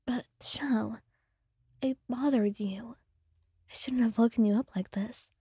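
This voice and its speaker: Shy affectionate young woman in hushed tones, voiced by a woman; husky, dry and close. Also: a little high, slow pace, no echo, exaggerated voice. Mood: apologetic. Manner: soft whispered, hesitant.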